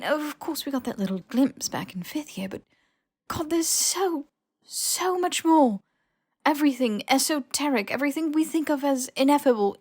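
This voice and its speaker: A woman voicing an anxious, earnest young witch in an audiobook story, halting and fervent as she confesses. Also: sits high, a little mellow, no room sound, studio quality, pitched above the performer's own voice. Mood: breathless awe. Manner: breathless, halting, fervent, slightly manic.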